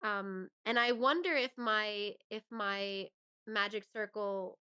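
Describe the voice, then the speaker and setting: A woman's voice: mid-range voice, slow, sharp tone, clean tone. A woman speaking as an interview guest in a casual, enthusiastic and reflective conversational style. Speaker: interview guest, voice actress and performer.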